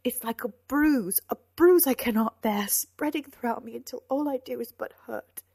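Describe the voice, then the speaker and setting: Woman acting a husky, anguished young noblewoman. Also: mid-range voice, expressive, normal speed. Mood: pleading. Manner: emotional, pleading, swinging from hushed to loud. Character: anguished young noblewoman, lovesick, desperate and wrathful. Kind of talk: dramatic audiobook character acting.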